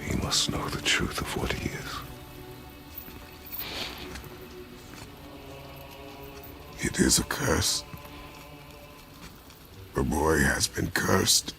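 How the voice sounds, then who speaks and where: Super deep gravelly war god dad for a game, stern, few words, clipped. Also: male voice, slow pace, sharp tone, faint music behind, exaggerated voice. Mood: grave, subdued. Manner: terse, weighty, clipped commands. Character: stern, terse, battle-hardened father, a god of war.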